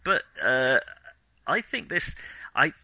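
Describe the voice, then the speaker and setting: A man's voice: mid-range voice, big pitch swings, takes it slowly, pushed and bright, dry recording. A man co-hosting a podcast, discussing films casually in a hesitant, discursive way that often corrects itself. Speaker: podcast co-host.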